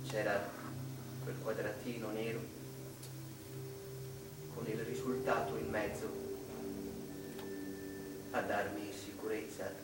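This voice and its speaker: An actor playing a tormented male mathematician confessing to his mother in a dramatic, deliberate radio drama monologue with repeated phrases and pauses. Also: lowish voice, lightly acted. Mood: wistful, confiding. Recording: echoey, distant stage recording with heavy noise.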